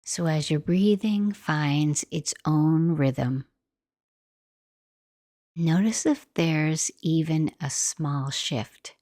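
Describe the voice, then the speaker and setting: A woman guiding a meditation in a gentle, soothing, unhurried manner, like soft instructional narration. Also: low voice, no room sound, clean studio sound. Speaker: meditation guide.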